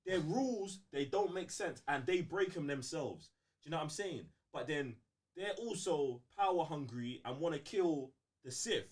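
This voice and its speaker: A man with a London accent and a slightly high voice co-hosts a casual fan debate. His pitch moves in a very lively way. He talks in emphatic slang and often repeats a phrase to drive it home. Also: normal speed. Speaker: co-host of a fan talk show.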